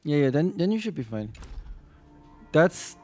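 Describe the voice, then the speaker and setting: A man with a slightly higher voice than most talks casually to his stream chat, halting and restarting as he explains things. Faint game music plays under him. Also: sing-song, a little mellow. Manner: halting, full of restarts and fillers while answering chat.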